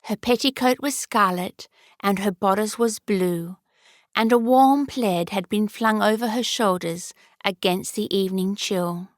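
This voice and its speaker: A woman narrating a fairy-tale audiobook in a measured storytelling manner. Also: mid-range voice, no echo, studio quality.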